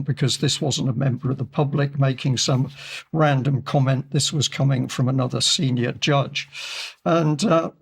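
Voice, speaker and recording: A man with a croaky voice giving measured news commentary in a studio, slowing down on key points and using many fillers. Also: medium pitch. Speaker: regular commentator. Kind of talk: discussion commentary reading from articles.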